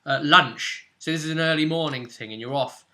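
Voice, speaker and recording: A man's voice: a little high, fairly quick, bright, forceful tone. A man hosting as game master, casually explaining a tabletop role-play session in loosely phrased speech with asides.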